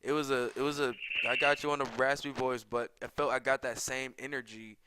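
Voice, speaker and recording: A man chatting on a podcast at a fast clip, easygoing and agreeable, with sentences that trail off. His voice sits at a middle pitch. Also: little pitch movement, heavy background noise. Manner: quick, agreeable, trails off mid-thought. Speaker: podcast co-host. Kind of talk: casual podcast chat.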